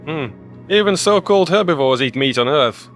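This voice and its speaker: Man as a higher-pitched, easygoing human crewmate. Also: expressive, moderate pace, fairly bright tone, faint music behind. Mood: casual. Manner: casual, nonchalant. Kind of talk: sci-fi audiobook character voice.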